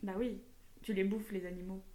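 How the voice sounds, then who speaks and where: A woman's voice: mid-range voice, level tone, normal speed, warm tone. A young woman co-hosting a casual podcast, chatty and rambling, with frequent giggles. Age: young adult. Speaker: podcast co-host.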